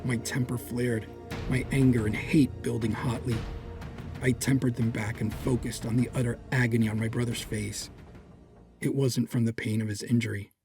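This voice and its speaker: A man's voice: mid-range voice, level tone, moderate pace, music in the background, close to an everyday voice. A man playing a proud, hot-tempered warrior in an audio drama, speaking in grave, formal, theatrical phrases. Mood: brooding. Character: proud, hot-tempered dark warrior, fiercely protective brother. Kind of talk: first-person dramatic audio drama narration.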